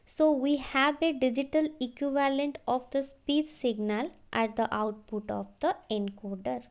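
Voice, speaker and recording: Woman, very slow pace, high pitch, halting explanatory lecture, repeats phrases. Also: dull audio. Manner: halting, repeats phrases, often says okay.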